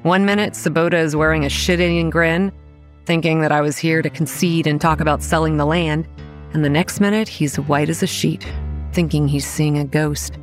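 An actress playing a young campground manager who narrates a horror audio drama in a wry, dry, understated way. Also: female voice, deep voice, steady tone, moderate pace, soft background music, close to an everyday voice. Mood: wry narrating. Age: young adult.

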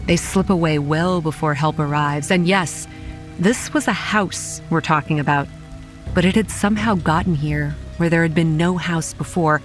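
Faint music and rain sit behind a young woman played by an actress telling a horror story. She is grave and measured, a haunted campground manager with a dry, wry edge. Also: deep voice, natural voice. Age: young adult. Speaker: narrator and lead actor. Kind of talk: dramatic first-person horror audio drama narration. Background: quiet music and rain.

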